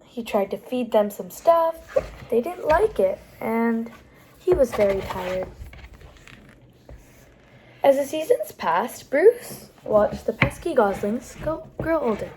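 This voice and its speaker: Woman storytime reader for young children: high, very lively delivery, explanatory asides, slight background noise. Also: slow, pauses often, poor audio. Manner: animated, explains pictures and words as she goes. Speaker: storytime host reading picture books aloud. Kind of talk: expressive read-aloud for young children, with asides to the listeners.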